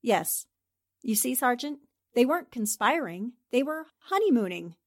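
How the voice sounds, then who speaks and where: A woman playing a poised, sharp reporter. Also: on the high side, big pitch swings, normal speed. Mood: confident. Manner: poised, precise, explains deductions. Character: sharp-witted female newspaper reporter. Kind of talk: amateur audio drama acting.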